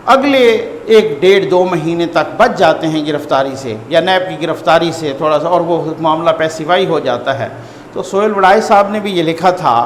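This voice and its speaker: Pakistani guy doing chatty news commentary, pretty high voice, reverby room w a bit of noise. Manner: conversational, repeats phrases for emphasis. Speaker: host and political news commentator. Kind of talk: informal news commentary to viewers. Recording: echoey reverberant room, faint background noise.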